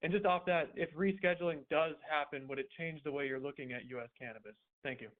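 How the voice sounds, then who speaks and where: A man's voice: fairly high pitch, fairly even intonation, fast. A man asking questions as a financial analyst on an earnings call. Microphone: conference call line. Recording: thin, phone-like call audio.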